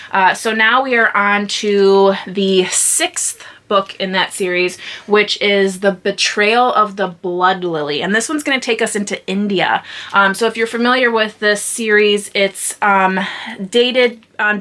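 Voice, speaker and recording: A woman's voice: medium pitch, pushed and bright. A woman talking casually and informally to viewers as the host of a book vlog, in a rambling, chatty way.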